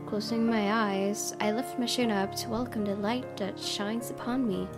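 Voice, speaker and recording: A woman game streamer reading a visual novel aloud as expressive narration. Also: medium pitch.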